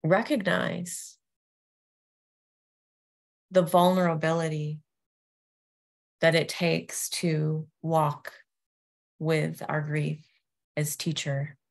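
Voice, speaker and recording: Lady yoga nidra teacher doing a meditation intro, super slow w long pauses, kinda creaky fry, gentle. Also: sits low, little pitch movement.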